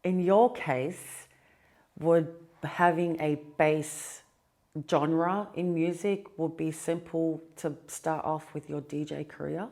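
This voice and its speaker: A woman's voice: low-pitched, steady tone, slow pace, dark tone. A woman interviewing a guest, asking brief, plain questions.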